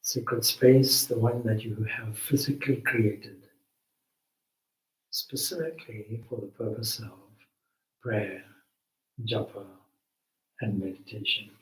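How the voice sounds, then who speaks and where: Slow, deep-voiced man guiding meditation, roomy echo. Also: steady tone. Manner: measured, deliberate, short phrases with long pauses. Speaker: spiritual teacher leading meditation. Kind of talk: guided meditation instruction. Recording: roomy echo, distant microphone.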